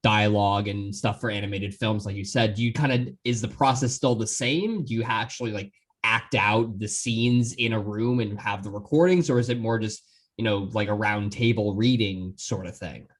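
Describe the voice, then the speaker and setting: Man, fairly low voice, podcast interviewer, casual, rambling roundabout questions. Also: normal speed.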